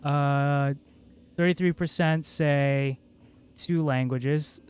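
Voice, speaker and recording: A man's voice: on the high side, fairly even intonation, drawn-out words, a little mellow, smooth. A male game streamer, a native Cebuano speaker, chatting casually and rambling with lots of fillers. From Philippines. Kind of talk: casual livestream chat.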